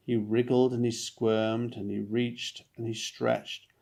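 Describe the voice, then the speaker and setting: A man reads a children's story aloud in the style of a dad with a picture book. His phrasing is expressive and deliberate, and his voice carries a noticeable creak. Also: medium pitch.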